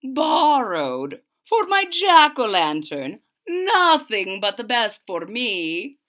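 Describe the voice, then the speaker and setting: An ancient storybook wizard, voiced by a woman, self-satisfied and in no hurry. He draws his words out very slowly in a raised, bright voice. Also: a little high, big pitch swings, full character voice. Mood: smug, unhurried. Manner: slow, drawn-out, deliberate. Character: unhurried, self-satisfied nine-hundred-year-old male wizard.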